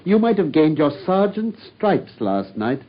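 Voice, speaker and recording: An actor playing a sharp, brilliant male detective who talks in a brisk, commanding way, in a BBC radio drama. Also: a little high. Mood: scolding. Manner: brisk, incisive, commanding, lively intonation. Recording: dull lo-fi old radio broadcast.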